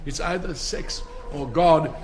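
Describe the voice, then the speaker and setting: An older actor playing a fussy, pompous headmaster, hesitant and theatrical, with an upper-class English accent. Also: male voice, fairly high pitch, takes it slowly, a little mellow. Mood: fretful, resigned. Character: dithering, pompous elderly headmaster addressing parents. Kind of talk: theatrical radio drama acting.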